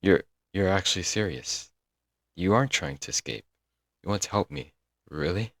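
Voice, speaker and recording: Young male yandere stalker, played by a man: very low, dry-recorded, doting, earnest, clingy, for intimate audio roleplay. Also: animated, moderate pace, no echo. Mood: touched, hopeful. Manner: doting, earnest, dramatic with sighs and chuckles. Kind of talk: intimate ASMR audio roleplay, scripted monologue.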